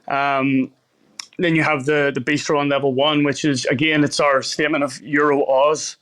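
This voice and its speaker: Northern Irish man, fairly high even voice. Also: moderate pace. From Belfast, Northern Ireland. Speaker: chef, podcast guest. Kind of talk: casual interview talk.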